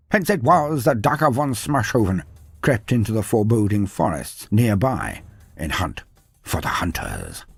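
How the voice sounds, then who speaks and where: A man's voice: fairly low pitch, gravelly, slightly rough. Theatrical man narrating with sweeping intonation. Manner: theatrical, grandiose. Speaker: narrator of fantasy lore videos. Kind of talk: dramatic scripted storytelling narration. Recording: background music under the voice. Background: music.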